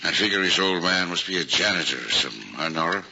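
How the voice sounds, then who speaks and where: An actor playing a good-hearted, hapless working-class cab driver with folksy, ungrammatical talk in an old-time comedy drama. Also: male voice, low voice, animated, fast talker, creaky. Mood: chatty storytelling. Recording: dull lo-fi vintage recording, faint noise.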